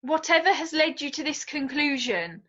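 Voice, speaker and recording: A woman playing a timid, gentle and earnest young younger sister in a period drama, speaking politely and hesitantly. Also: sits high, very animated, moderate pace, highs cut off. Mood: gently doubting. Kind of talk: stage-style period drama dialogue.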